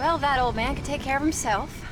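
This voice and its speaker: Woman playing a high-voiced, blunt frontier wife. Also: brisk, loud music behind the voice, close to an everyday voice. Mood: wry, matter-of-fact. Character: sharp-tongued frontier wife and mother, wry, blunt. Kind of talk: cinematic video game acting.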